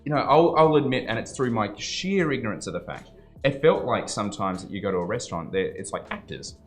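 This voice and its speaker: A man's voice: on the low side, lively intonation, fairly quick, soft background music. A man hosting a casual podcast interview, talking in a rambling, emphatic way with lots of fillers and swearing. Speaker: podcast host.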